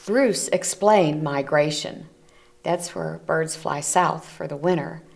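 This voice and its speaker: A woman reading a picture book aloud to children, gently explaining the pictures as she goes. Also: sits low, takes it slowly, vocal fry. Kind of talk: read-aloud storytelling for children. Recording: community TV recording.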